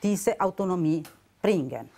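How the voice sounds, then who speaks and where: A woman in her fifties whose first language is Italian speaks slowly, with many pauses as she hunts for German words. Her voice sits a little low. She is on a lapel mic in a TV studio debate. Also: lively intonation, creaky, warm tone. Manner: hesitant, searching for German words. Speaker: election candidate. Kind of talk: TV panel debate answer.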